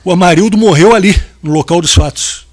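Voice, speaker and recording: A man questioning a witness at a jury trial in a formal, methodical way, with short quiet acknowledgments between questions. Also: high voice, brisk. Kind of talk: courtroom questioning.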